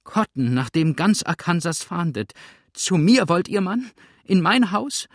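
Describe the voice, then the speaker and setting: A frightened, prim widow from an audiobook, acted out by a man, pitched well up. The delivery is breathless and flustered, full of emphatic, pleading protest. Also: big pitch swings, moderate pace, husky, no echo, studio quality. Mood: shocked alarm. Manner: breathless, stammering, exclaiming protests. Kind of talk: audiobook character acting.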